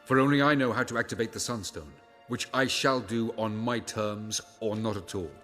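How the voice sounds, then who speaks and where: An actor voicing a haughty, calculating old elf sage in a video game, speaking in a formal, imperious and measured way. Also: male voice, on the low side, bright, forceful tone, soft background music. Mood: cold, condescending. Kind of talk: dramatic video game dialogue.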